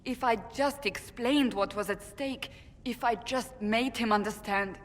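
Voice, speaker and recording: An actress playing a stern, earnest warrior woman in a fantasy game, speaking gravely and with self-reproach. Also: measured pace, natural voice. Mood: bitter regret. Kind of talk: dramatic video game cutscene acting. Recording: long reverb, noisy rumbling background.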